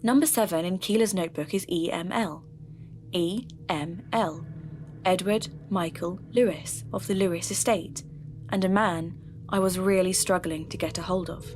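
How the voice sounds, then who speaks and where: An actress playing a curious, determined podcast investigator, narrating her case in a thoughtful, deliberate way. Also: lowish voice, a little mellow, slight background noise, close to an everyday voice. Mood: frustrated, curious. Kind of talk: scripted podcast narration, measured and reflective.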